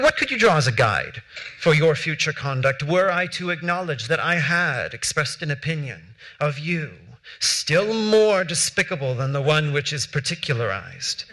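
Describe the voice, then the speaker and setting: A young man playing a proud, articulate founding statesman, reading period letters with measured, emphatic delivery on a theater stage. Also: fairly high pitch, sharp tone, poor audio. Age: young adult. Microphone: headset mic.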